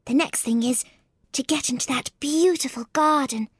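A woman's voice: high voice, normal speed, dry recording, clean studio sound, natural voice. A woman voicing a polite, puzzled little girl in a storybook reading, speaking in an earnest, rather prim manner. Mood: puzzled musing.

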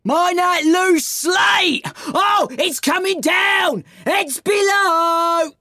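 A narrator voicing a hearty, excitable male animal workmate in a storybook, drawing out rustic exclamations. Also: mid-range voice, slow pace, dry recording, studio quality, voice pushed up. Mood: alarmed. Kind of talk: storybook character voice.

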